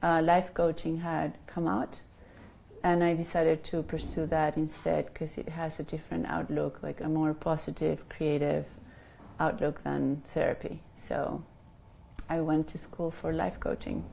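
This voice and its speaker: A woman's voice: deep voice, little pitch movement, measured pace, gravelly, mellow, a little hiss or hum. A woman in her fifties from Chile with a Chilean Spanish accent, a life coach answering interview questions with many ums and restarts.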